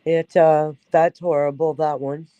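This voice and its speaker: A congested woman with a stuffed-up nose hosting a casual livestream chat, talking in a halting way with many fillers. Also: deep voice, flat delivery, warm tone, dry recording, dull audio. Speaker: livestream host.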